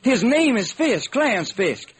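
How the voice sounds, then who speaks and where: High-voiced, husky police lieutenant. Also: male voice, big pitch swings, measured pace, heavily acted. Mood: earnest, baffled. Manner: slangy, earnest, colloquial. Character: baffled, folksy police lieutenant, adult man. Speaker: actor. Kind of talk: old-time radio detective drama acting. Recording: noisy vintage radio recording.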